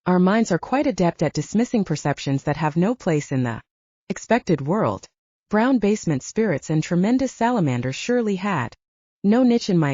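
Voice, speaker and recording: A woman's voice: on the low side, darkish tone, no room sound, muffled recording. A woman reading scripted first-person fiction as an audiobook narrator.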